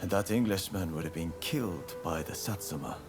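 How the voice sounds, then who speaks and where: An actor playing an honorable young samurai in a video game cutscene, speaking formally and solemnly in measured phrases. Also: male voice, low voice, lightly acted. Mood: earnest, resolute. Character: earnest, honorable young male samurai of Choshu. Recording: music behind the voice.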